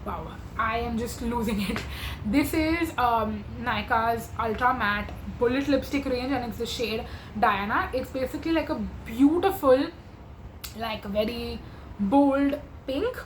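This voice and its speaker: Chatty young woman, slightly hoarse. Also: on the high side, unhurried, roomy sound, slight background noise, poor audio. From India. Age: 20s. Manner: chatty and gushing, with many fillers. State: losing her voice. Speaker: beauty vlogger. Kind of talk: casual makeup chat to camera.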